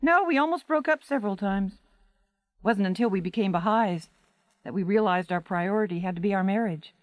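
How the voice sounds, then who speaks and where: An actress in a 1980s radio drama playing a seasoned stage actress, a frank and supportive friend with an emphatic manner. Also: female voice, medium pitch, fairly quick, no room sound, natural voice. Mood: reflective.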